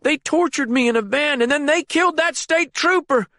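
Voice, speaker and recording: Frightened old captive, very high, whimpering and frantic, dry sound. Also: male voice, moderate pace, sharp tone, no room sound, exaggerated voice. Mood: panicked pleading. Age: older. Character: panicked old man, terrified captive.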